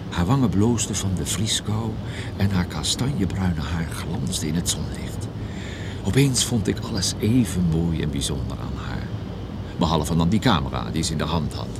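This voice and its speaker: A man's voice: low-pitched, heavy background noise. An actor playing a wry, self-deprecating private detective who narrates his own story in a radio drama. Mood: wistful.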